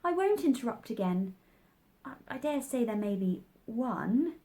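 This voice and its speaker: A polite little girl from a storybook, played by a woman. She is full of earnest questions and quick to take offence. Her pitch is very lively. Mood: humble. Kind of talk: storybook character voice.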